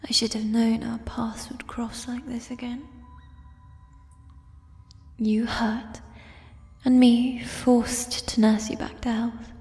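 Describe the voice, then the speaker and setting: Woman whispering as a slow, tender giant troll girl. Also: fairly high pitch, level tone. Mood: tender, concerned. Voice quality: soft, breathy whisper. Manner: slow, formal old-fashioned phrasing, tender. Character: gentle, shy giant female mushroom troll, tender and nurturing. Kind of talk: ASMR roleplay, whispered. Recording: long reverb, music behind. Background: fantasy ambience music.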